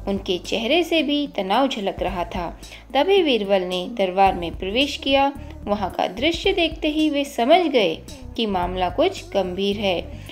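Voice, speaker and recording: A woman narrating a scripted children's story as the host of a storytelling channel. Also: fairly high pitch. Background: background music.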